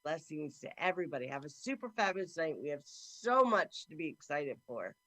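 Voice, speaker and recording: A middle-aged woman hosting a talk show over a video call, with a deep, carrying voice, effusive and chatty. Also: very animated, fairly quick, dry recording. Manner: effusive and warm, talks a lot. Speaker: host. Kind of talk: casual chat. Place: indoor room at home.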